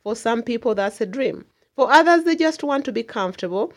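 A woman's voice: a little high, lively intonation, fairly quick. A middle-aged woman from Uganda, speaking earnestly and emphatically as a community association chair in a radio interview.

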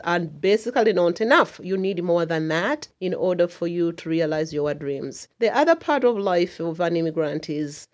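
A middle-aged woman with a lowish voice, talking in a radio interview. She speaks earnestly and with heartfelt emphasis. She leans into her key points. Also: a little mellow. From Uganda. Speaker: community association chair.

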